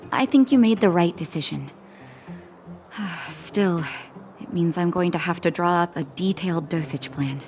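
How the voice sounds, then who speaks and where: An actress voicing a caring, composed woman medic in a video game, measured and gently teasing. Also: fairly low pitch, close to an everyday voice. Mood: understanding.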